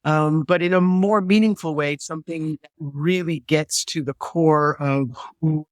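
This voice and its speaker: Guest speaker, man, 50s, slightly high pitch, thoughtful and searching, video-call mic. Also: fairly even intonation, measured pace, dry recording, clean studio sound. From New Hampshire, USA. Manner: thoughtful, repeats words as he searches for phrasing. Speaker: guest historian and theologian. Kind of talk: reflective interview answer.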